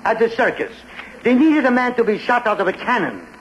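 An actor playing a gloomy, self-deprecating sad sack in 1950s radio comedy, deadpan and mournful, with odd foreign-style word order. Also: male voice, very high-pitched, a little fast, highs cut off. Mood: glum deadpan. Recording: very noisy old radio recording.

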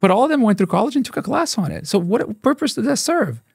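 A man in his forties, a native Portuguese speaker with a Brazilian accent, talking fast as a guest in an interview, restarting and repeating words. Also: high voice, sing-song, creaky, husky, no room sound, clean studio sound. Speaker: statistics professor, guest. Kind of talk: casual interview conversation. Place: dark podcast studio. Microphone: studio mic on boom arm, headphones.

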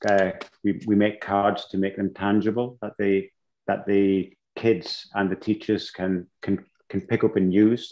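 A middle-aged man with a lowish voice joins an online panel through a headset. His talk is thoughtful and halting, full of small restarts. The audio is dull and lo-fi, like a video call. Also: a little mellow. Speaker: panellist teacher. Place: home room with plants.

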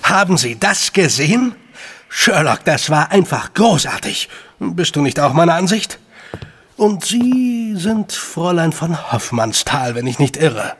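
An actor playing a self-satisfied, urbane British government gentleman in an audio drama, with formal speech. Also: fairly high pitch, sing-song, unhurried, breathy edge, full character voice. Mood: smug.

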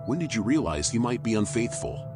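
A man voicing a world-weary raccoon private eye in a noir game, wry, sardonic and deadpan. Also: on the low side, brisk, over music. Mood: businesslike. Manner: dry, wry, deadpan muttering. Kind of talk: noir game voice acting, internal monologue and dialogue.

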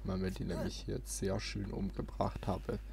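A man's voice: deep voice, completely flat delivery, normal speed, gravelly, a little mellow. A man hosting a let's play, giving casual, playful commentary on a video game. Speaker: let's player, host. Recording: game noise behind the voice. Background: video game ambience.

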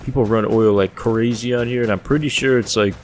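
A young man with a deep voice giving casual, joking commentary while playing a survival game, swearing often as he thinks aloud. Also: fairly even intonation, fairly quick, a little hiss or hum.

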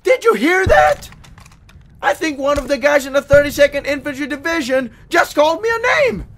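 A man's voice: very high voice, sing-song, normal speed, fairly bright tone, full character voice. A man playing a petty, self-righteous, whiny army private in an audio drama, fussy and indignant. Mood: angry, taunting. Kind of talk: radio drama acting.

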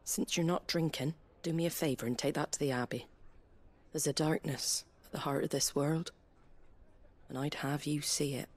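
A woman's voice: low voice, fairly even intonation, moderate pace. An actress voicing a stern, plain-spoken medieval tavern woman with a British accent in a video game. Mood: firm, purposeful. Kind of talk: video game cutscene acting.

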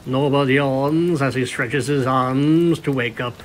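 A man's voice: medium pitch, takes it slowly, slight background noise. A man narrating a scripted story as a fan fiction reader.

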